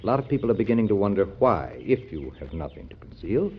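An actor playing a loyal, frank friend and adviser to a president in old-time radio drama, with an American accent and a tactful, conversational way of talking. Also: male voice, on the low side, very animated, fast, slightly rough. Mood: concerned, candid. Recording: dull, lo-fi classic radio recording.

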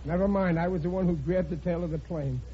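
An actor playing an excitable, bumbling middle-aged father in an old radio comedy, blustering and muddled. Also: high-pitched, fast, close to an everyday voice. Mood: stubborn, huffy. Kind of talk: 1940s-50s radio situation comedy acting. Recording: muffled, noisy vintage radio recording.

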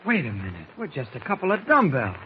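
An actor playing a mild-mannered but brisk, purposeful newspaper reporter in casual 1940s radio-drama style. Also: male voice, medium pitch, huge pitch swings. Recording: muffled, hissy vintage radio recording.

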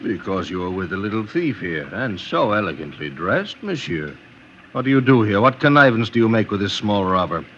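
A sardonic, suspicious police officer in an old radio play. He questions insistently in a sharp, widely swinging voice. The recording is muffled and faintly noisy. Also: fairly low pitch, lively intonation, a little fast. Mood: sardonic, probing. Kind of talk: old-time radio drama acting.

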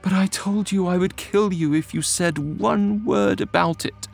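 Casting: male storyteller as a female snow spirit, high-pitched, dramatic, wounded and threatening, music underneath. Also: a little slow, gravelly, husky, heavily acted. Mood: wounded accusing. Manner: impassioned, accusing, dramatic. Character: anguished snow-spirit wife, grieving, vengeful and threatening.

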